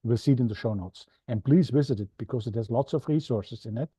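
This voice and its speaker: A man's voice: medium pitch, fast talker, warm tone, husky, dry recording. A man with an Austrian accent hosting an interview podcast, chatty and a little self-deprecating as he signs off. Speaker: podcast host. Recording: dull, dry lo-fi sound.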